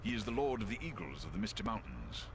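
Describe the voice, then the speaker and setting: A dignified giant eagle from a fantasy game. The voice is deep, with formal, measured, stately phrasing. Clear ambient noise sits behind it. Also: male voice, sing-song. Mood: grave, dignified. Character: noble giant eagle, dignified, duty-bound vassal. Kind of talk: dramatic fantasy game acting.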